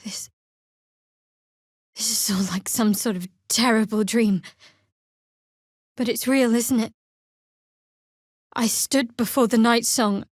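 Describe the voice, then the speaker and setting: A woman playing a guarded, sardonic young cleric of a dark goddess in a video game, speaking in a dry, measured, wry way. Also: on the high side, fairly even intonation, no room sound. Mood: solemn, emotional. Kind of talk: video game character voice acting.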